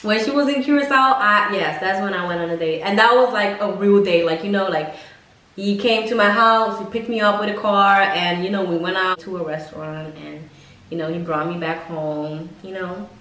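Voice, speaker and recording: Echoey far mic, young woman vlogging, casual blunt storytime, swears a lot, expressive. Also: mid-range voice, normal speed, a little hiss or hum. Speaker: vlogger telling personal dating stories. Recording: echoey room, mic at a distance.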